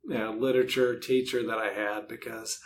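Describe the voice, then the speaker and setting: A man's voice: flat delivery, a little fast. A middle-aged man giving casual, rambling, self-deprecating answers as a podcast guest. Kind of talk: casual conversational interview answers. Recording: faint low rumbling background noise.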